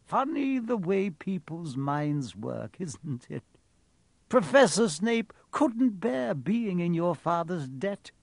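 A man voicing an elderly wizard headmaster in a storybook, dreamy, whimsical and gently pleased with himself, musing without hurry. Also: sits high, sing-song, takes it slowly, gravelly, no echo, voice pushed up, heavily acted. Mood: dreamy, amused. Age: older.

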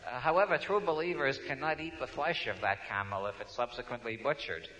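A man's voice: medium pitch, fairly quick, sharp tone, a little hiss or hum. A man with a New York accent giving a humorous talk to an audience, wry and digressive, restarting his sentences often. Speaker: speaker. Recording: dull lo-fi recording.

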